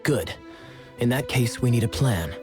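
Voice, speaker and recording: A man's voice: mid-range voice, animated, measured pace, loud music behind the voice, natural voice. A man playing a suave, sly, self-assured young knight in a video game, talking in a wry, theatrical way. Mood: composed. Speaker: knight character.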